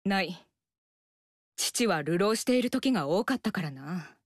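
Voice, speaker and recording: A woman's voice: on the low side, lively intonation, unhurried, no echo, clean studio sound. An actress voicing a stern, earnest young woman warrior in a video game, speaking in a formal, stiff manner. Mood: stiff sincere. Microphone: studio mic, dry.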